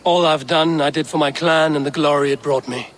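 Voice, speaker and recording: An actor plays a loyal Viking raider, measured and guarded. The voice is a little high for a man, in game cutscene style with faint ambient noise. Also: steady tone, a bit bright, close to an everyday voice. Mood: calm, guarded. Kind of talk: video game cutscene acting.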